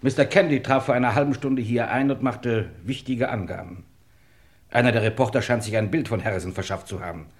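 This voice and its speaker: An actor playing a courteous, formal, businesslike police inspector in a German radio crime play. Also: male voice, medium pitch, brisk, natural voice. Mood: official, probing.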